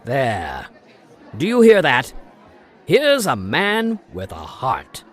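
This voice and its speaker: A man's voice: medium pitch, huge pitch swings, takes it slowly, a faint crowd, full character voice. An actor playing a blustering, dismissive father who scoffs and speaks brusquely, in an old-time radio drama.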